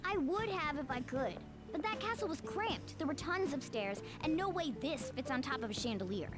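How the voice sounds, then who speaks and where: Anime game mascot: a bossy, self-assured cat, high and swooping, music underneath. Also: female voice, lively intonation, moderate pace. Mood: smug, explaining. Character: talking cat guide who turns into a bus, cocky, know-it-all. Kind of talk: anime video game voice acting.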